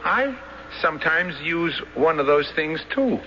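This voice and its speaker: A man acting in a 1970s radio drama as an earnest, plain-spoken, eccentric loner with a halting, simple way of talking. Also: on the high side, expressive. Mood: curious, puzzled. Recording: dull, noisy 1970s radio broadcast.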